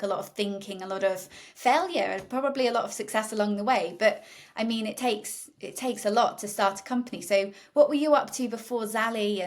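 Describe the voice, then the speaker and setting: Woman interviewer, mid pitch, chatty encouraging questions.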